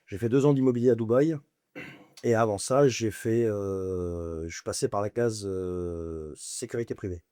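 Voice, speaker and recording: A man's voice: lowish voice, normal speed, dark tone. A Lebanon-born man in his forties talks as a guest in a loose, slangy, outspoken way. He is full of fillers and small laughs. The recording is dry, close and free of room echo. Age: 40s. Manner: blunt, slangy, frequent fillers and chuckles. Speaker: podcast guest. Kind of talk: casual storytelling interview.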